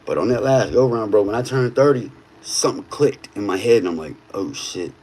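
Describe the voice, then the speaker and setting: A man's voice: lowish voice, animated, brisk, a little mellow. A man in his thirties talking bluntly in casual streetwise slang on a livestream. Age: 30s. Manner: blunt, streetwise, peppered with "bro".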